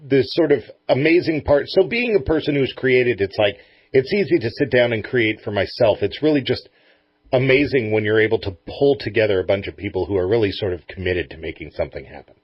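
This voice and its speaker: A man's voice: mid-range voice, brisk, slightly rough, no echo, dull audio. A middle-aged man hosting a radio show, chatting casually as he interviews a guest.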